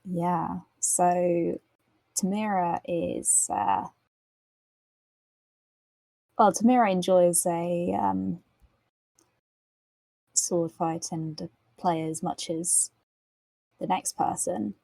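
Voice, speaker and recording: A woman improvises casually as a player in a tabletop game, choosing her phrases thoughtfully. Her voice is husky with heavy fry, and she takes her time. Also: on the low side, expressive, takes it slowly, pauses often, warm tone, no echo, low-quality recording.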